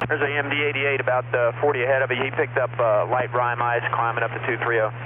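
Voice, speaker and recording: A man working as a departure air traffic controller, issuing brisk, clipped radio instructions. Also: medium pitch, little pitch movement. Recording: tinny radio channel with static hiss.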